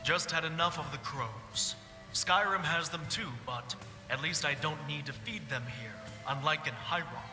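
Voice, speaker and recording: A man's voice: fairly high pitch, close to an everyday voice. Brooding bard played by a man, echoing hall. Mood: wistful pride. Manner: measured, solemn, poetic. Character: brooding male bard, once a mage advisor to a king. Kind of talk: fantasy game roleplay dialogue. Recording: echoey hall, music playing behind.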